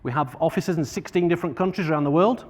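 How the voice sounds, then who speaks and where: A man with a Northern English accent giving an informal, somewhat halting conference keynote as a charity partnerships manager. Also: fairly high pitch, a little mellow. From Northern England. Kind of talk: conference keynote speech to an audience. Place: large conference room, on stage. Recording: echoey hall reverb.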